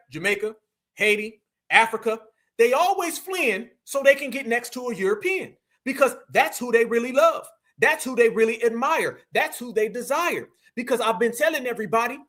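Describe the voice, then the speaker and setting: High-pitched, fired-up male livestream commentator. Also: bright, forceful tone. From United States. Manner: combative, mocking, slangy, talks straight to viewers. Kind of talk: heated live reaction commentary.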